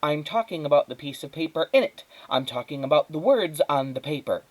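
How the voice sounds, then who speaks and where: A man's voice: a little high, expressive, brisk, voice pushed up, natural voice, rough recording. A man voicing an exasperated, self-important mother in a storybook, scolding in an imperious, emphatic way. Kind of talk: storybook read-aloud character.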